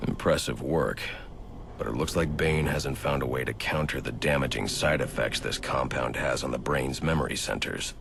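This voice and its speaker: A man playing a grim, brooding vigilante detective in a video game, speaking in a stern, deliberate, gravelly voice. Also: a bass voice, noisy background. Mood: focused, analytical. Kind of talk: video game cutscene acting.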